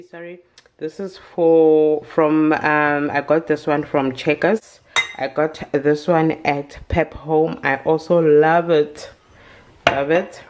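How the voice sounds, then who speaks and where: A woman's voice: deep voice, noisy background. A woman, chatting casually in a home vlog with many ums. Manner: chatty, relaxed, frequent ums. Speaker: vlogger. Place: home kitchen, indoors.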